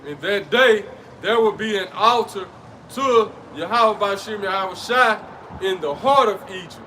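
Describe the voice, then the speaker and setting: A man reading scripture aloud outdoors in a steady, measured way. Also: high-pitched, recorded from a distance. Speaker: scripture reader. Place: outdoors by a street. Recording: off-mic, street traffic noise. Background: passing vehicles.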